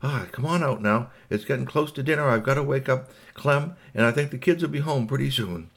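A man's voice: medium pitch, a little fast, gravelly, voice pushed up. A man playing a busy mother calling her husband to dinner in a children's story.

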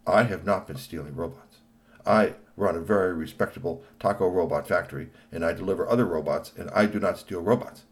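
A man's voice: low voice, a little fast. A man voicing an exasperated older uncle who scolds his nephew. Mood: stern insistent.

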